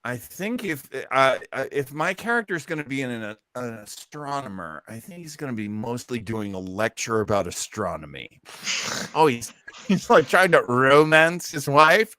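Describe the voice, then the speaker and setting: A man's voice: mid-range voice, very animated, normal speed, sharp tone, dry recording. A man hosting a listening party, giving casual, playful, joking commentary. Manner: playful, jokey. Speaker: host of an old-time radio listening party.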